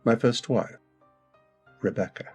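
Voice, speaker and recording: An actor playing a secretive, short-tempered husband in an old-time radio drama, snapping in anger and then turning tender and apologetic. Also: male voice, measured pace, faint music behind, natural voice. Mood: uneasy. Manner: abrupt angry outbursts softening into tender apology. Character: brooding, secretive, volatile English gentleman husband. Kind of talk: 1940s radio acting.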